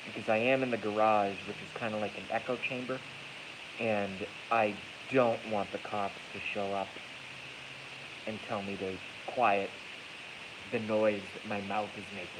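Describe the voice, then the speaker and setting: A man's voice: lowish voice, fairly even intonation, normal speed. A man with a congested, stuffy voice delivers a casual, rambling livestream monologue, blunt and profane, repeating phrases for emphasis. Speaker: livestream host. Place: echoey garage at night. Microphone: iPhone, moved closer during the stream. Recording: hissy noisy iPhone recording.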